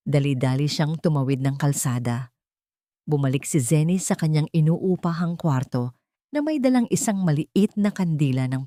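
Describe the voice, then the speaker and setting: A woman narrating a dramatic scripted audio story in deliberate, suspenseful phrases. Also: deep voice, warm tone, no echo, studio quality.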